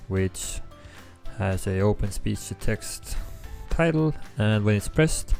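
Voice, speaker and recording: A young man with an Estonian accent, his first language Estonian, walks through a coding tutorial in a relaxed way, with frequent ums as he thinks aloud. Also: on the low side, slow pace, vocal fry. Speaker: app developer tutorial host. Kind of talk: screen-recorded coding tutorial. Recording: faint background music.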